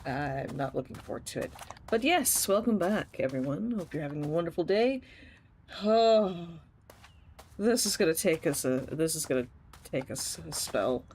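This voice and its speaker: A woman streaming a game, chatting casually with her viewers and laughing often, her voice rough and tired from just waking up. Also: sits low, lively intonation, normal speed, darkish tone, slight background noise. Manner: chatty, giggly, talks to chat while playing. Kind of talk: casual gaming livestream chat.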